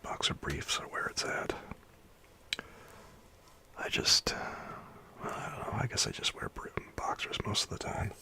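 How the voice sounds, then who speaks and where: A man chatting with his livestream viewers in a soft whisper, rambling and chuckling as he goes. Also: a little slow, long pauses.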